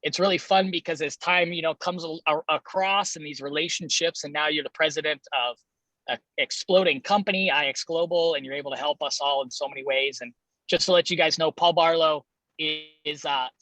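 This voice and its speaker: A man's voice: a little high, fairly quick, sharp tone, dry recording, poor audio. A man hosting a training call in a casual, chummy, enthusiastic way, introducing and interviewing a guest.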